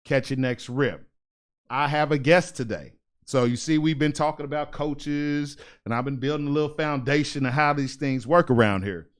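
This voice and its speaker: Lively joking male podcast host. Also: fairly high pitch, moderate pace. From United States. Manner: animated, joking, repeats phrases. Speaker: podcast host and ring announcer. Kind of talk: casual interview chat.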